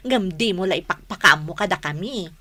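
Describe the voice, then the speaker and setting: Bright, excitable nosy Ilocano woman. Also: on the low side, fairly quick. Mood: prying, excited. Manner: prying, animated. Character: excitable, nosy young female friend. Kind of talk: Ilocano radio drama acting.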